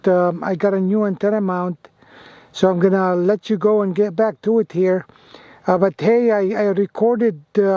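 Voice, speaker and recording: A man's voice: high-pitched, warm tone, dry recording, muffled recording. A man chatting casually as an amateur radio vlogger, effusive and given to repeating himself. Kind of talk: casual radio chat and hands-on commentary.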